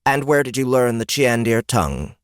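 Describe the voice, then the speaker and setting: A man voicing a female beast-folk elder in a fantasy audiobook, haughty and erudite, with clipped, precise diction and a deliberate manner. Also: medium pitch, sing-song, clear, resonant tone, no echo, clean studio sound, voice pushed up, natural voice. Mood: intrigued. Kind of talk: fantasy audiobook character acting.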